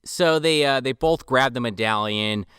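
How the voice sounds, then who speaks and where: A man co-hosting a movie podcast, with a bright, forceful voice that is smooth and clear. He recaps scenes in long, joking rambles. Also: medium pitch, unhurried, no echo, studio quality. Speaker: podcast co-host. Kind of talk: casual movie review chat.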